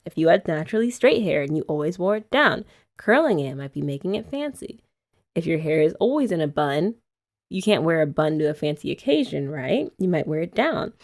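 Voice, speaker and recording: A woman's voice: low voice, very animated, fairly quick, darkish tone. A young woman hosting an ASMR girlfriend roleplay, chatty and giggly as she rambles. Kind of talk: soft-spoken ASMR roleplay chat.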